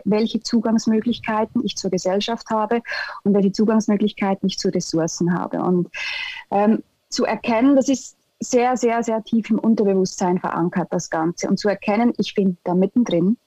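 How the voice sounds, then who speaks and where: A woman's voice: medium pitch, moderate pace, mellow, dry recording, muffled recording. A young woman from Switzerland with a Swiss German accent, giving thoughtful, hesitant interview answers with many fillers. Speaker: interview guest, integration specialist.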